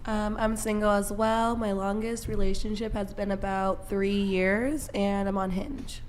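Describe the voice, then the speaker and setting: A woman's voice: medium pitch, fairly even intonation, unhurried, slight background noise. A woman in her twenties, a podcast guest, answering plainly. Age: 20s.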